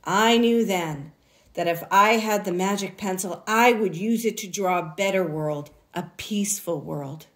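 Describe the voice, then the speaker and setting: A woman reading a children's story aloud expressively, in a deliberate way with dramatic pauses. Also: lowish voice. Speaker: picture book reader.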